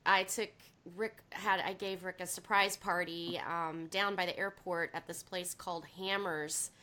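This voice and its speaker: A woman in her forties with a head cold, co-hosting a podcast in a loud, rambling, laughing way. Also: on the low side, fairly even intonation, a little slow. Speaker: podcast co-host. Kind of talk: casual chat.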